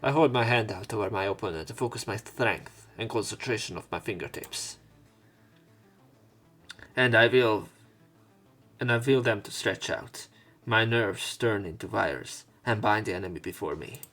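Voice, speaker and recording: A man's voice: lowish voice, normal speed, a bit bright, faint music behind. A man hosting a let's play, reading visual novel narration aloud in a dramatic way, with commentary. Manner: acts out lines and exclamations.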